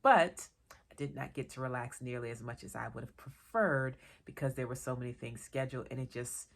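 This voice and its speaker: Low, creaky-voiced woman chatting with a stuffy nose. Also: fairly quick. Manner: chatty, relaxed, digressive with small laughs. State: congested from a cold; tired. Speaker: vlogger and author. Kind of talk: casual solo vlog chat.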